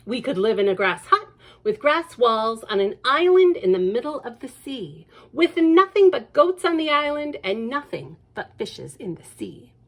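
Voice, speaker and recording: A woman voicing a dreamy, easygoing father in a storybook reading, musing and unhurried. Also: fairly high pitch, sing-song, heavily acted.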